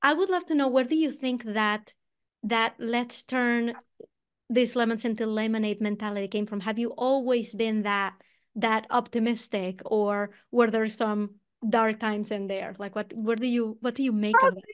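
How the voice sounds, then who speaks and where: A woman's voice: fairly high pitch, steady tone, moderate pace, clean tone. A woman podcast host from Venezuela, a native Spanish speaker with a Venezuelan accent, asking curious follow-up questions. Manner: curious, engaged, asks probing follow-up questions. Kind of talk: interview conversation.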